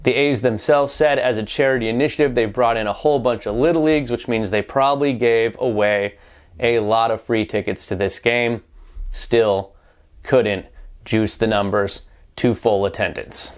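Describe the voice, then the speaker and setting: A man's voice: mid-range voice, moderate pace. A man delivering opinionated sports news commentary as a reporter, wry and pointed, often posing rhetorical questions.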